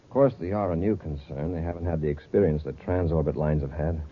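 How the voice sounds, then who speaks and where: A commanding space patrol chief with a low voice and rapid, businesslike delivery. It is classic fifties radio serial style, thin and tinny like an old broadcast. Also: male voice, slightly rough. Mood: brisk, serious. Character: brisk, authoritative space patrol commander, grown man. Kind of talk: 1950s radio adventure acting.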